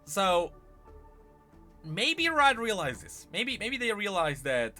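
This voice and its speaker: Man, bright forceful voice, casual gaming commentary, thinking aloud, emphatic repeats, very animated pitch. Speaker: streamer and host of a gaming news channel. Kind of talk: casual live-stream commentary on gaming news. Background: background music.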